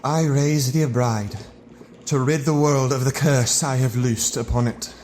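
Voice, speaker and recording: An actor playing an anguished, guilt-ridden scientist in an audio drama, speaking in formal, dramatic phrases. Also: male voice, mid-range voice, fairly even intonation, measured pace, a little mellow, slight background noise, lightly acted. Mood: grim resolve.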